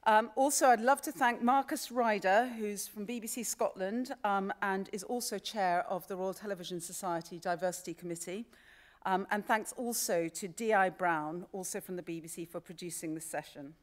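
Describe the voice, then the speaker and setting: A woman giving a formal, polite closing speech of thanks in a halting way. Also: medium pitch. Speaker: chief executive of a society. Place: radio theatre stage.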